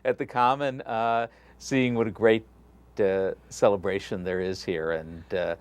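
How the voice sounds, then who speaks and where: A man's voice: lowish voice, level tone, unhurried, smooth. A middle-aged man, a rabbi from Texas, chatting in an interview in a loose, friendly way with many fillers and restarts. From Galveston, Texas. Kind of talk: casual interview conversation.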